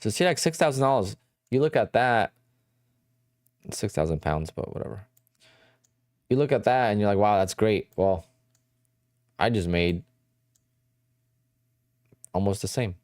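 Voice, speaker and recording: A man from New Jersey talks through his livestream in a loose, rambling way. His voice sits very low with a dark, mellow tone, and the recording is close and dry with no room sound. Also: expressive, unhurried, long pauses, no room sound. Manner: rambling, conversational, answers chat questions. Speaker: day trading livestream host. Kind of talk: casual livestream chat.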